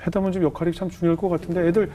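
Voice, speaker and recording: Brisk low-voiced woman host. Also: little pitch movement, mellow, slight background noise. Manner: brisk, affirming, frequent agreement. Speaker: talk show host. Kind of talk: interviewing a guest.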